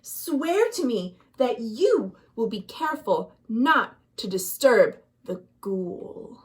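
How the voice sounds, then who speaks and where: Female storyteller as an anxious storybook mother, earnest, high, dramatically varied in pitch. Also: very animated, slow pace. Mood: anxious warning. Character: worried village mother, cautioning her child.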